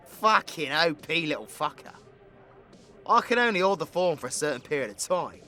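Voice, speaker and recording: A man's voice: fairly high pitch, bright, forceful tone. A British man voicing a cocky, foul-mouthed supervillain in an audio roleplay, with a crude, theatrical and menacing manner. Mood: intrigued, confiding. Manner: swaggering, crude, theatrical, dropping into menacing whispers. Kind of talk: ASMR audio roleplay acting.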